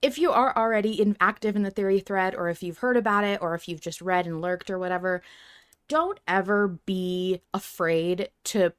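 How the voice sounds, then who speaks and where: Female podcast co-host, mid-pitched, casual and emphatic fan chatter. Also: steady tone, moderate pace, smooth. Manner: emphatic, informal.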